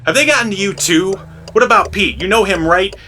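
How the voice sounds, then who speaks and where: A man playing a frazzled, sleepless American drifter, excitable and incredulous, in an audio drama. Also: sits high, brisk, faint music behind. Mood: exasperated. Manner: excitable, incredulous, foul-mouthed.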